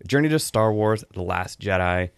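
A man's voice: lowish voice, animated, normal speed, creaky, clear, resonant tone, no echo, studio quality. An American man hosting a review show, talking casually as he gives his take. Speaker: host of a film and book review show.

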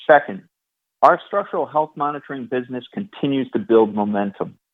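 A man speaking as a chief executive, reading prepared corporate remarks in a formal, measured way over a phone. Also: medium pitch, lively intonation. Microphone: phone call. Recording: thin, narrow phone line.

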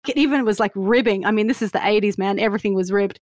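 A woman's voice: mid-range voice, fairly quick, no echo, clean studio sound. A woman in her fifties from Australia, with an Australian accent carrying a slight Canadian tinge, telling stories as an interview guest with lots of laughter and self-mockery. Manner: animated storyteller, laughs often, self-mocking. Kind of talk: casual interview chat.

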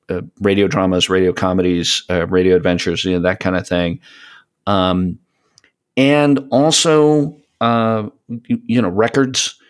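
A man talking reflectively as a podcast co-host, hesitant, often stammering on the starts of words and pausing mid-thought. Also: sits low, clean tone. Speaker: podcast co-host, audio content creator. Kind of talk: reflective casual podcast conversation.

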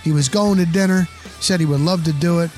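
A man's voice: a little high, fairly quick, darkish tone, faint music behind. A middle-aged man from New Jersey hosting sports talk in an enthusiastic, effusive way, repeating phrases for emphasis. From New Jersey, USA. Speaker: interview show host.

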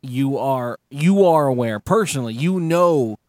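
A man hosting a podcast in a casual chat, animated and blunt, swearing and restarting his sentences. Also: mid-range voice, measured pace, no room sound, studio quality. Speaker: podcast host.

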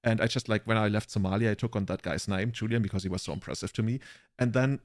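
German guy in his 30s, lowish voice, dry close sound, rambling self-mocking podcast stories. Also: fairly even intonation, fast, no room sound. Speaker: podcast co-host. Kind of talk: casual chat, rambling anecdotes.

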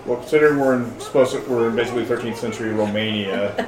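A man's voice: medium pitch, racing. A man player making wry jokes during a tabletop game. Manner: wry, laughing. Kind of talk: casual chat at a tabletop game. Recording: echoey room from a distance with crowd chatter.

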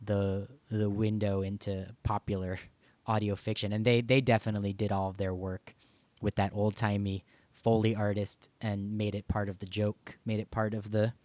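Man, halting podcast host, dry sound. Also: fairly low pitch, level tone, a little mellow, no echo. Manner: halting, thinking aloud with repeated words. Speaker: podcast host and audio producer. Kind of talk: casual conversational podcast talk.

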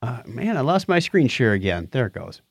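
Lively, joking male podcast co-host. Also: medium pitch, normal speed, gravelly, husky, no echo, clean studio sound. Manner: jokey, wisecracking. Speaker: co-host of a science news podcast. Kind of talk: casual banter and news commentary.

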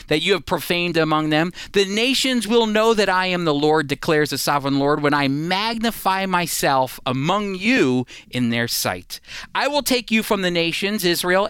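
Male preacher, smooth clean tone in a dry studio sound, earnest expository delivery with emphatic stresses. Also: a little high, fairly bright tone, no room sound. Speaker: preacher and Bible teacher. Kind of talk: sermon, expository Bible teaching.

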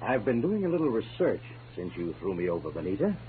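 A man's voice: lowish voice, sing-song, quick pace, phone-quality sound. An actor playing a smug, scheming, taunting male blackmailer in a 1940s radio drama. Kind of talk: 1940s radio noir acting. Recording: thin, noisy old radio recording.

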